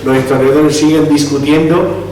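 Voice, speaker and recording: A man lectures in a roomy hall that rings with strong reverb, picked up from well back. His voice sits a little high for a man as he restarts words and tosses in rhetorical questions. A faint hum runs under the recording. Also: fairly even intonation, moderate pace, a little mellow. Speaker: lecturer on Iranian history. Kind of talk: public lecture. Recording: echoey hall, distant mic, faint hum.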